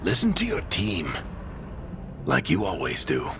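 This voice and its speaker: An actor playing a warm, weary, wry mentor saying farewell in a cinematic video game, speaking informally and unhurriedly. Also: male voice, a bass voice, wildly swooping pitch, measured pace, background noise, exaggerated voice. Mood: encouraging. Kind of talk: cinematic game dialogue acting. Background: music.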